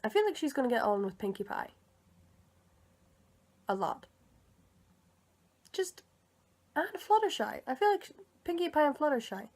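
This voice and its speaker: A woman's voice: sits high, very animated, a little slow, pauses often, a little mellow. A young woman giving casual, unscripted commentary in a tentative, musing way, as if thinking aloud. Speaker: vlogger sharing opinions on film characters.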